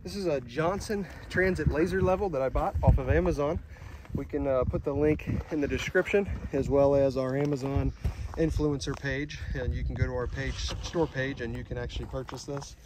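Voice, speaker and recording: A man in his thirties casually explaining barn layout on an outdoor building site, relaxed and plain-spoken with many fillers. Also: medium pitch, fairly quick. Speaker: vlogger building a pole barn. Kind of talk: casual how-to narration. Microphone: handheld phone camera. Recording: outdoor phone audio with noise.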